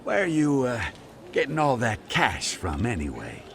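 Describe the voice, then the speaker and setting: A man playing a teasing, condescending gambling-den lowlife in a video game cutscene. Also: wildly swooping pitch, normal speed, a crowd in the background. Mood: mocking, probing. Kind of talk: dramatic game cutscene dialogue, English dub.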